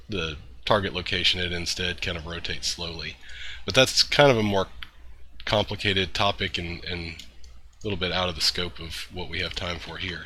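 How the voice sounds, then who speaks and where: Congested man with a bright tone. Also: deep voice, a little hiss or hum. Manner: relaxed, talks through steps with fillers and throat-clearing. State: congested from a cold. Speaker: programming tutorial host. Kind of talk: screen-recorded coding tutorial.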